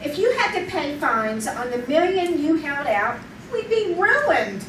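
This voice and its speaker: Ruthless scheming wife played by a woman, high-pitched. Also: very animated, a little slow, a bit bright, exaggerated voice. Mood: forceful, desperate. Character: cold, ruthless, scheming wife of a tax cheat. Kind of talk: old-time radio drama acting. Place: live theater stage. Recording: distant echoey stage recording, clear noise.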